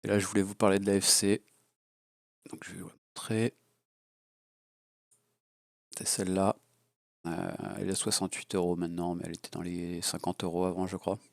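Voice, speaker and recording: Gravelly low-voiced man with a cold. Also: flat delivery, a little fast, pauses often, dry recording, clean studio sound. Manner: blunt and crude, rambling with many fillers. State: has a cold. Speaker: FPV drone hobbyist reviewer. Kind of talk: casual unscripted product review. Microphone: low-level home mic.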